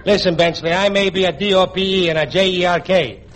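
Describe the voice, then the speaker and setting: A man's voice: sits high, fairly even intonation, brisk, a bit bright. A man playing a dim-witted, wisecracking stooge in 1940s radio comedy, mangling his grammar and delivering obtuse comebacks. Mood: cheeky. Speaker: comic regular on the show. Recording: noisy vintage 1940s radio recording.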